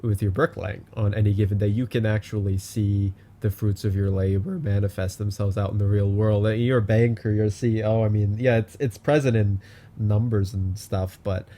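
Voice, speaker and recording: Male co-host, low mellow voice, stammers and repeats words. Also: steady tone, moderate pace. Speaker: podcast co-host. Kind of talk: casual political chat.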